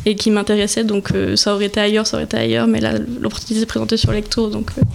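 A woman in her twenties, from French Guiana, answering questions on a local radio show in a measured way with many hesitations. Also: medium pitch, completely flat delivery, vocal fry. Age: 20s. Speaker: guest bookseller. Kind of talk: radio interview. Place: small local radio studio.

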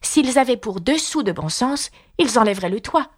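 A woman's voice: on the high side, lively intonation, moderate pace, voice pushed up. A woman voicing a plucky, curious young storybook girl, spirited and given to musing to herself. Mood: pondering. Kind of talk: storybook character reading.

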